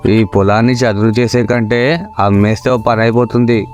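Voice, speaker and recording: A lazy young village layabout, acted by a man, in a comic moral tale. He is flippant and mock-dramatic, tossing out wisecracks at a middling pitch, with music underneath. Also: steady tone, normal speed, a little mellow, lightly acted. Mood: exasperated, clowning. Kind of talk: comic Telugu moral-story voice acting.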